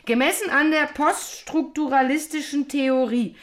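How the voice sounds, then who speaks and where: A woman's voice: high voice, steady tone, measured pace. An actress playing a stubborn, grudging woman reader in a radio drama. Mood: stilted recital. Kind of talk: radio drama dialogue and read-out.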